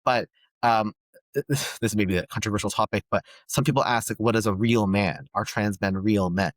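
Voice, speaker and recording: A man from Palestine, a guest on a podcast, speaking thoughtfully and pausing often to search for words. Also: on the low side, dry recording. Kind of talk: reflective conversation.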